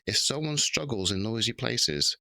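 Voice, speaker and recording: A man hosting a casual podcast conversation, speaking in a halting way with pauses and repeated words. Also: on the low side, animated, gravelly, pushed and bright, no room sound, studio quality. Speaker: podcast host.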